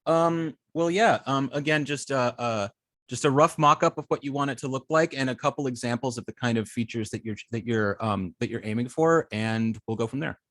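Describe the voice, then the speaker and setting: A man's voice: mid-range voice, a bit bright, no room sound. Hesitant man teaching, dry close sound. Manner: hesitant, halting, often repeats words. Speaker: web design class instructor. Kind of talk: informal online teaching and student check-ins.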